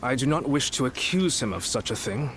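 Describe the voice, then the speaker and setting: A man's voice: medium pitch, lively intonation, fairly bright tone, slight background noise, close to an everyday voice. Formal, commanding man acting as a military strategist. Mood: grave, troubled. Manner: formal, measured, deliberate. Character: shrewd, commanding male military strategist of ancient China. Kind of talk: video game cutscene acting.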